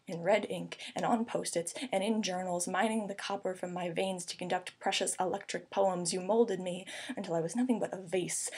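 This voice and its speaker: A young adult woman reciting a slam poem in an earnest, intimate way with deliberate phrasing. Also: on the low side, a little mellow, slightly rough. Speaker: slam poet.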